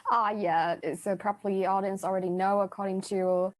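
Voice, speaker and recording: A woman's voice: mid-range voice, level tone, moderate pace. A young woman from China, a guest on a podcast, speaking English with a Chinese accent in an earnest, simple way. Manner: earnest, simple non-native phrasing. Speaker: guest, university student. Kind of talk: casual podcast chat.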